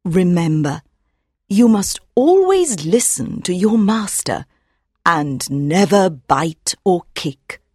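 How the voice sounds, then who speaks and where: A man's voice: sits high, big pitch swings, takes it slowly, husky, no echo, clean studio sound, voice pushed up, full character voice. A man voicing an old wise mother mare. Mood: earnest advice. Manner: soft, earnest and admonishing.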